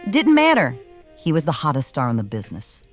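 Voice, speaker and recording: Low-voiced, swooping, taunting lady crime boss. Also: wildly swooping pitch, a little fast, faint music behind. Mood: cold, scornful. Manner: taunting, theatrical, hums while eating. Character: smirking, cruel wrestling boss woman with a mocking temper. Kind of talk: noir audio drama acting.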